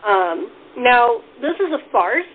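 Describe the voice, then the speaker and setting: A woman's voice: a little high, big pitch swings, takes it slowly. A woman hosting a casual cast interview over a remote connection, chatting as the show's writer. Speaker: host and radio playwright. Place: at home on a remote call. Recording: noisy, muffled remote call audio.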